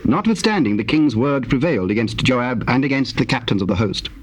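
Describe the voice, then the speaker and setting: A man narrating scripture from the King James Bible in a formal, measured storytelling manner. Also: medium pitch, animated. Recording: old cassette tape, faint background noise.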